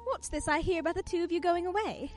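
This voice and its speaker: High, gushing society woman, acted by a woman. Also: fast talker, soft background music. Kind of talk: radio play acting.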